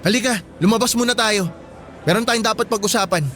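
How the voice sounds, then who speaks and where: Very high-pitched, insistent young Filipino boyfriend, acted by a man. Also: a little fast, close to an everyday voice. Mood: annoyed. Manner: insistent, emotional. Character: disapproving young boyfriend, earnest and pleading. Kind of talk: radio-drama dialogue acting. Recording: music bed behind the voice.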